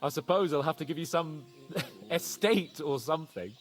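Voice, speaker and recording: A jovial, pompous young lord. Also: male voice, a little high, expressive, moderate pace, soft background music. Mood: grateful. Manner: jovial, grandiose, flowery speech. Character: young male nobleman, merry, boastful and pompous. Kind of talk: video game cutscene dialogue.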